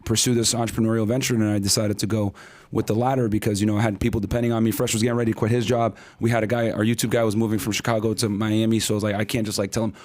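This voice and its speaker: A man in his thirties telling his story as a podcast guest, in casual, rapid talk full of fillers and acted-out quotes. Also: fairly low pitch, little pitch movement, a little mellow. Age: 30s.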